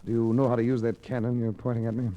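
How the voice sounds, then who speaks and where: A man's voice: medium pitch, level tone, fast, dark tone, highs cut off, close to an everyday voice. A man playing a cool, wry hard-boiled investigator in a 1940s radio mystery, dry and unruffled as he talks and narrates. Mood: cool, alert. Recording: hissy old radio drama recording.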